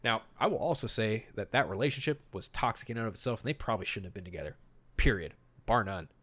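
Male podcast host, lower register, emphatic and opinionated, switching between narration and casual commentary. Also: fast talker, a bit bright. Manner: opinionated, emphatic, mildly profane. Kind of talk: podcast talk mixing read-out storyboard summary and casual commentary.

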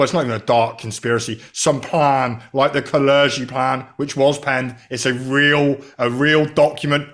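Higher-voiced male host, rambling questions. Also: a bit bright. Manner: rambling, thinks aloud with questions. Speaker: podcast host. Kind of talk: conversational interview.

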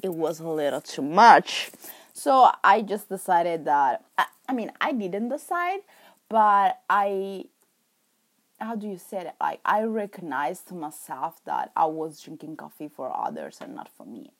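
Chatty Italian woman, low voice. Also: very animated, measured pace. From Italy. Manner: chatty and rambling, with self-corrections and laughs. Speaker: vlogger. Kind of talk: casual chat to camera.

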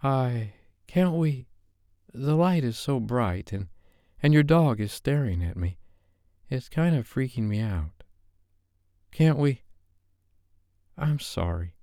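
A male narrator plays a shy young woman in a romance audiobook. The voice is lifted well up in pitch, hesitant and pleading, full of anxious pauses. The sound is close and dry. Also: lively intonation, mellow, no echo. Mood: nervous, pleading. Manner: halting, hesitant. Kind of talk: romance audiobook character dialogue.

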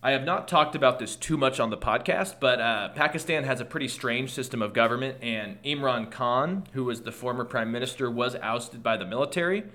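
A man talks through world news on his own podcast, thinking aloud with restarts and asides. His voice is bright and forward, with an ordinary middle pitch. He is losing his voice. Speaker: podcast host. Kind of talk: solo news commentary podcast.

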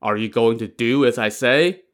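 A man's voice: mid-range voice, normal speed, close to an everyday voice. A man voicing a sly male magician in a story, teasing and instructing in a mock-serious way. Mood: commanding.

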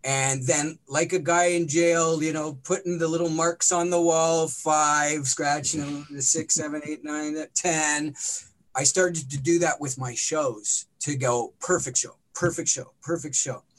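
A middle-aged man, a rock vocalist with a smoky, slightly raspy voice, chatting casually and rambling with lots of fillers. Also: on the high side, measured pace, low-quality recording. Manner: relaxed, rambling storyteller with many fillers. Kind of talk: casual interview chat.

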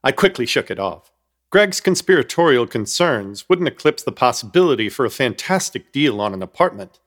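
A man's voice: mid-range voice, very animated, moderate pace. A man narrating a comic horror radio play in an ornate, mock-grave, wry storytelling manner.

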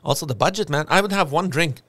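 A man's voice: a little high, quick pace, dry recording. A man from Lebanon, a podcast guest telling stories in a casual chat and laughing often. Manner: animated storyteller, laughs often, restarts. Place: Australia.

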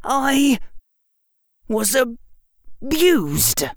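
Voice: very animated, a little slow, no echo, studio quality. A performer voicing a precocious, indignant young boy, emphatic and fiercely defensive. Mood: shaken, firm. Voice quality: shaky, climbing toward shrill. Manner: emphatic, articulate, argumentative.

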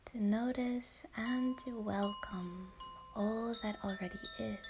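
Woman whispering a sleep meditation real slow, soft chimes and music faint behind. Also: fairly high pitch. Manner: hushed whisper, slow and soothing. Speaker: meditation guide. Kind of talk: guided yoga nidra meditation.

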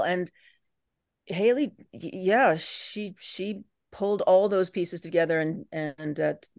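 A woman's voice: sits low, darkish tone, no room sound. A woman speaks in a very dry, close recording with no room sound. She is a guest in a casual interview, explaining her craft step by step in a conversational way. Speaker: actress, podcast guest.

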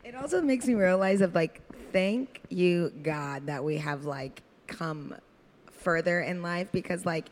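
Low-voiced woman chatting casually. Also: measured pace. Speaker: podcast guest. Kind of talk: casual chat.

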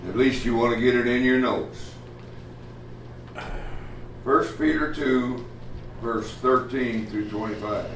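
A man's voice: mid-range voice, fairly even intonation, a little hiss or hum. Plainspoken preacher guy, lots of uhs, roomy echo and mic set far off. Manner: plainspoken, folksy, halting with many uh fillers. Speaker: pastor. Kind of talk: sermon. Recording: echoey room from far off, faint ambience.

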